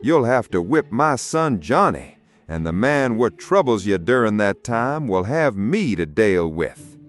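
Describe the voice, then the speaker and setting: A man voicing a stern, commanding father who yells reproachfully in an audiobook story. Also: fairly low pitch, very animated, measured pace. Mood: fierce, commanding. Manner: yelling, reproachful, harsh. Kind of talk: audiobook character acting. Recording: music behind the voice.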